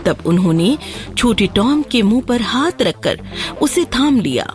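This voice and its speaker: Female children's fairy tale narrator, higher register, very expressive intonation, music underneath. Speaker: storyteller. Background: background music.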